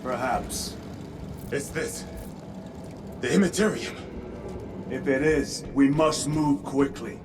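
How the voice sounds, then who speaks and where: Man acting a grave, steady space marine. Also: mid-range voice, slow, long pauses, background noise, lightly acted. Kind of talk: video game cutscene acting.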